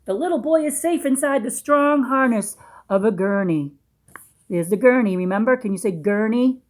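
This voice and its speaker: Female storybook reader, fairly high voice, teacherly, explains simply and puts questions to young listeners. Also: expressive, moderate pace. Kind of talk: read-aloud with simple explanations for young children.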